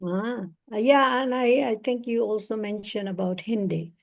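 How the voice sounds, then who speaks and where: A woman tutoring English over a video call, talking slowly and encouragingly with many fillers. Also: fairly high pitch, vocal fry. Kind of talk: encouraging online speaking practice.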